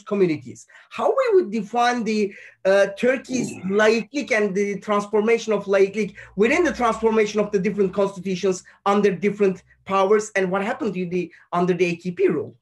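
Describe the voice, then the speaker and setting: A man's voice: sits high, steady tone, a little fast. Man moderating a panel. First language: Turkish. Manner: rambling, searching for words while framing questions.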